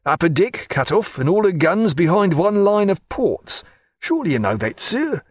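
A man voicing a grumbling, bossy old male ship's steward who talks in rough sailor's dialect. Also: high voice, very animated, normal speed, no room sound, studio quality, voice pushed up, heavily acted.

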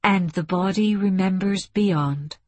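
A narrator, a woman, plays an impassioned female delegate in a science fiction audiobook. She declaims earnestly and emphatically, with a husky edge and a dry, close sound. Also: mid-range voice, takes it slowly, darkish tone, dry recording. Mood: urgent, insistent. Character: intense, impassioned woman delegate awakening to alien insight.

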